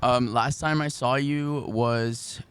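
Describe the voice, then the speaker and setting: A man in his twenties from Miami, a podcast guest chatting outdoors in a laid-back, wry way, often trailing off quietly. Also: medium pitch, fairly even intonation, takes it slowly, smooth. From Miami, Florida. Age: 20s. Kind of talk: casual chat. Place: outdoors in a park.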